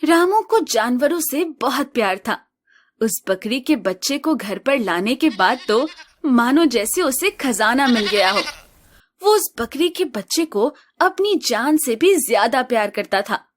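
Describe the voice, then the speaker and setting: Female storybook narrator, slow, even delivery, high-ish voice.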